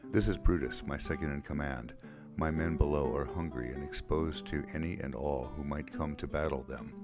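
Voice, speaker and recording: A man's voice: rumbling low, steady tone, normal speed, loud music behind the voice. A man playing a proud, battle-hardened exiled warrior king in an audio play, with stately, formal speech. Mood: formal, grave.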